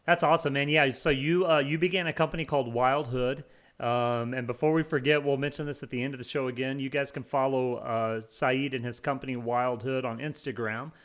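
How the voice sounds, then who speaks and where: Upbeat guy hosting an interview, laughs a lot, lots of ums and uhs, mid pitch. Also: steady tone, brisk, a bit bright. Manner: upbeat, laughs often, filler-heavy. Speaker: podcast host. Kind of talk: casual interview chat.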